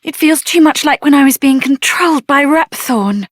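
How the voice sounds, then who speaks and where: High-pitched spirited young heroine played by an actress. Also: female voice, normal speed, no echo, clean studio sound, natural voice. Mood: uneasy. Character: spirited, headstrong young woman adventurer, tender underneath. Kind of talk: video game voice acting.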